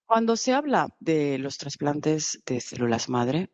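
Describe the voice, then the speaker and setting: A woman giving live conference interpretation, halting at times with restarts and bursts of speed. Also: low voice, big pitch swings, darkish tone, no echo, highs cut off. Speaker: simultaneous interpreter.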